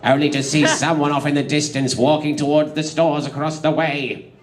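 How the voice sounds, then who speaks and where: A man's voice: fairly high pitch, flat delivery, normal speed. A man narrating comic fan fiction in a theatrical, giggly way, prone to breaking up and tossing in asides.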